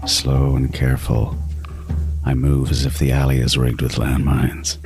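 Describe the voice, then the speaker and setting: Super deep breathy serial killer narrating his hunt, intense and obsessed, music going behind him. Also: flat delivery, darkish tone. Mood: tense, predatory. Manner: intense, menacing, deliberate first-person narration. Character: meticulous, obsessive male serial killer stalking prey. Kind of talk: dramatic horror podcast narration.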